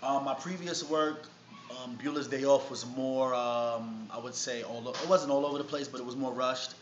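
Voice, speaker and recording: A man's voice: mid-range voice, fairly even intonation, normal speed. A man from Harlem with a New York accent, talking casually and in slang as a rapper being interviewed. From Harlem, New York City. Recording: noisy, muffled, echoey room recording.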